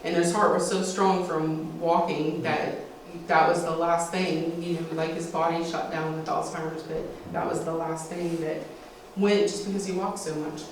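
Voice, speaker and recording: A woman's voice: on the low side, level tone, normal speed. A middle-aged woman sharing family memories informally as a speaker at a community talk. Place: small meeting hall, indoors. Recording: roomy, distant mic, faint noise.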